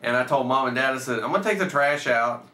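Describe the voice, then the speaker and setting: A man's voice: medium pitch, lively intonation, fast talker, pushed and bright. A man in his thirties telling a personal story casually, with swearing and acted-out bits of dialogue. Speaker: storyteller, host. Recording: roomy echo, off the mic.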